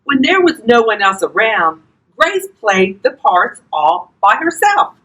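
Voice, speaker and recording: An older woman reading a children's storybook aloud expressively, talking directly to young listeners in a husky voice. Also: on the high side, sing-song, measured pace, fairly bright tone. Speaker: volunteer grandparent reading to children.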